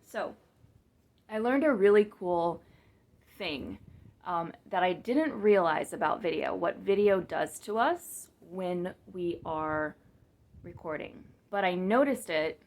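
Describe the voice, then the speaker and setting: Woman vlogger, low-ish monotone voice, meandering self-deprecating talk to camera. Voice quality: monotone, flat delivery. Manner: rambling, many fillers and restarts, self-mocking. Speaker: vlogger and business coach. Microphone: camera mic, speaking to the lens.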